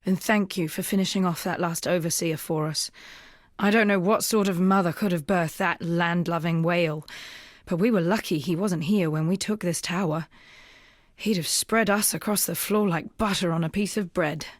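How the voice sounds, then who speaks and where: An actress voicing a weary, earnest young rebel woman, a wounded freed slave, in measured, solemn video game dialogue. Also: lowish voice, dry recording, clean studio sound, lightly acted. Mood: grateful, grim.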